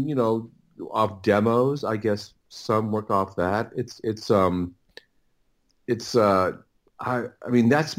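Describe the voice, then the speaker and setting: A middle-aged man with a low-pitched voice answers as a guest in a casual interview. He talks haltingly, repeating words and restarting sentences as he rambles along. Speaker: interview guest, audiobook producer.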